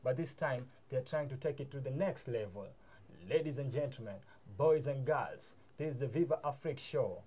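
A man's voice: medium pitch, normal speed, warm tone. Hyped young man hosting an interview. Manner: enthusiastic hype introductions, loose informal phrasing. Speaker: show host. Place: small studio room with mic stands.

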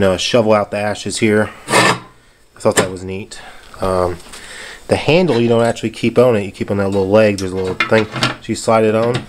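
A man casually narrating his hands-on home repair in a laid-back, plain-spoken way. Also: on the low side. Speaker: home DIY video maker. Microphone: handheld camera or phone behind the lens. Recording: noisy handheld camera audio with clicks.

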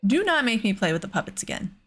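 A woman's voice: fairly high pitch, sing-song, fast, fairly bright tone, breathy edge. A woman hosting a casual let's play, with an exasperated, sarcastic way of talking. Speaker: gaming host.

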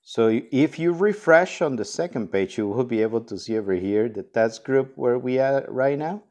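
A man's voice: mid-range voice, animated, moderate pace, a little mellow. Man giving a casual step-by-step app tutorial. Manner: informal, step-by-step explaining. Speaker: product tutorial host. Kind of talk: casual instructional walkthrough.

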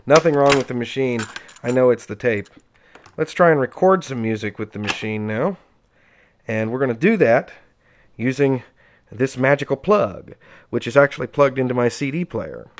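A man giving a casual, unscripted, chatty demonstration of equipment, explaining as he goes with dry enthusiasm. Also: medium pitch. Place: indoors at home, close-up tabletop demonstration.

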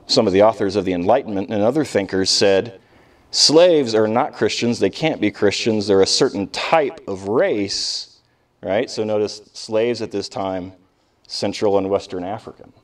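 Casting: man as history professor, lowish voice, informal and emphatic classroom delivery, picked up by a distant mic. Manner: conversational, emphatic, with rhetorical questions and pauses. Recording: distant microphone in live lecture.

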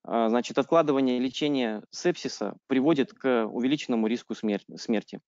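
Halting male interpreter on a dry call. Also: mid-range voice, a little mellow, smooth, no echo. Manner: halting, with frequent fillers and self-corrections. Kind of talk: consecutive interpreting. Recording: dry, dull lo-fi call audio.

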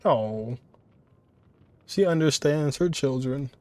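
A man in his twenties speaks as a reaction video host, recorded dry and close. His commentary is casual and conversational, in a middle-pitched voice. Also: lively intonation, slow pace, darkish tone, no echo. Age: 20s.

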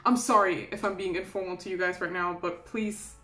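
A woman's voice: fairly low pitch, steady tone, moderate pace, bright, forceful tone, faint music behind. Chatty young German woman in an echoey room. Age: 20s. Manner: chatty, self-deprecating, laughs often. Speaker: vlogger. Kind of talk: casual chat to camera. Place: small bedroom indoors.

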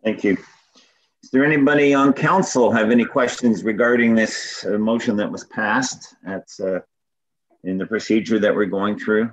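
A man's voice: lowish voice, moderate pace. A man serving as deputy mayor, chairing a formal council meeting over a video call in a procedural manner. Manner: procedural, repeats "going once, going twice". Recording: muffled video call audio.

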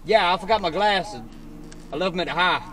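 A man bantering casually and slangily in deadpan jest as he orders from inside a car. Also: high voice, brisk. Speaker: drive-thru customer and prankster. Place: inside a car at drive-thru. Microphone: camera in back seat. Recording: noisy car interior, engine hum.

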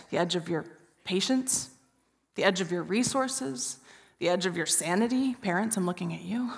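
A woman in her forties preaching an Easter sermon from a church stage, telling a story with hushed, drawn-out pauses. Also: medium pitch. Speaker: pastor. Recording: reverberant church hall.

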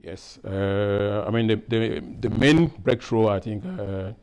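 A man's voice: lowish voice, slow pace, a little mellow, smooth. A middle-aged man from Cameroon, a process engineer, talking in an interview in a lab with a lapel mic, hesitant with many fillers and restarts. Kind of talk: workplace interview. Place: lab, indoors.

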